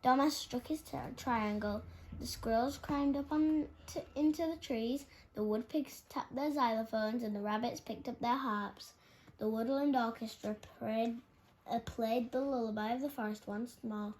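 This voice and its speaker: A woman's voice: a little high, unhurried, a little mellow. A woman reading a children's storybook aloud as its narrator. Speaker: storybook reader. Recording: clearly noisy background.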